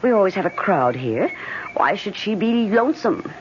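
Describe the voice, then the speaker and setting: Woman playing a flighty rich young lady, animated, teasing, airy, old radio drama, dull noisy recording. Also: mid-range voice, moderate pace, lightly acted. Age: young adult. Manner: airy, playful, disdainful.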